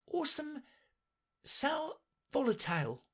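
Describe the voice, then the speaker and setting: Very high, polite little storybook girl, voiced by a man. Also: wildly swooping pitch, takes it slowly, a bit bright, exaggerated voice. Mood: prim, helpful.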